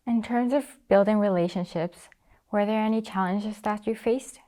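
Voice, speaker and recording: A teenage girl interviews a friend, reading prepared questions politely and at an even pace. Her voice is warm and mellow, at a middle pitch for a woman. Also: normal speed. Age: teens. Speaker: student interviewer.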